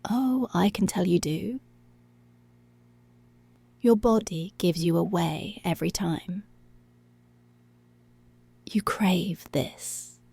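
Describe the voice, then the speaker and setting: A woman playing a dominant, seductive policewoman in an intimate ASMR roleplay, breathy and deliberate, often dropping to a whisper. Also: lowish voice, mellow, dry recording, heavily acted. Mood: seductive, possessive. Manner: slow, deliberate, commanding.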